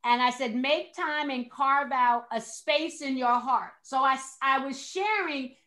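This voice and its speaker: A woman with a high, bright voice chatting on a video call. She talks in a playful, slangy way and hypes the others up. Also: measured pace. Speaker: host. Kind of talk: casual chat on a video call.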